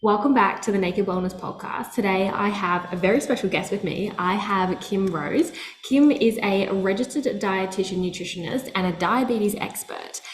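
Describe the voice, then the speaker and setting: A woman's voice: mid-range voice, normal speed, roomy sound, soft background music, rough recording. A woman hosting a wellness podcast as a nutritionist, effusive and enthusiastic as she introduces and chats with her guest. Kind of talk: podcast hosting and casual chat.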